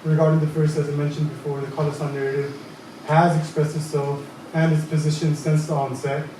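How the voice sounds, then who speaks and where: A man from Punjab making a prepared comment from the audience, deliberate and measured, using repeated phrases for emphasis. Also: on the high side, level tone, warm tone, a little hiss or hum. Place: large echoey hall. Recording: echoey hall, far from mic.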